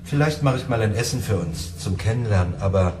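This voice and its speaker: Actor as a lonely, earnest neighbour, echoey room. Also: male voice, on the low side, lively intonation, fairly quick, lightly acted. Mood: shy, earnest. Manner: hesitant, earnest. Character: lonely, eager, shy simple-minded male neighbour. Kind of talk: radio drama acting. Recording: echoey room with music behind.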